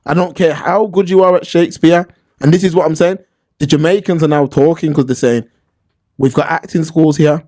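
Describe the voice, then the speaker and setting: London male podcast host, slightly high voice, casual slang, emphatic delivery, opinionated, repetitive for stress. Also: fairly even intonation, fairly quick, mellow.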